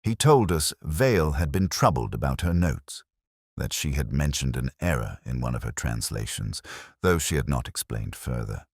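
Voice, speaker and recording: Brief: male narrator, very low voice with expressive swings, measured and brooding, for scripted detective fiction. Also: breathy edge, no echo, clean studio sound. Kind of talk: scripted first-person detective story narration.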